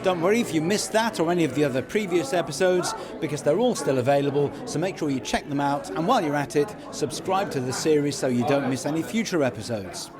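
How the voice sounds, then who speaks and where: A man's voice: on the high side, animated, fast talker, few pauses, a crowd in the background. A man hosting a podcast and interviewing a guest on location at an awards event. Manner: upbeat, chatty presenter. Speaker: podcast host.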